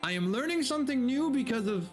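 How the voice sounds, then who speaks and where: A man's voice: very high voice, animated, quick pace. A man doing a dramatic story reading as a streamer, switching between theatrical narration and casual, amused, profane commentary. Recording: background music under the voice. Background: video game music.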